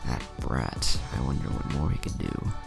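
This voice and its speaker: A sinister, scheming villain read by a male narrator muses hungrily to himself in a very deep, gravelly voice, with music underneath. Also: lively intonation, moderate pace, exaggerated voice. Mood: hungry intrigue. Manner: covetous, musing to himself.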